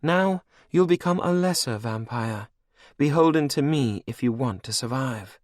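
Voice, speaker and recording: Man doing a regal vampire queen, cruel, sweetly mocking, high voice, dry sound. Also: lively intonation, unhurried, darkish tone, no echo, lightly acted. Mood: mocking command.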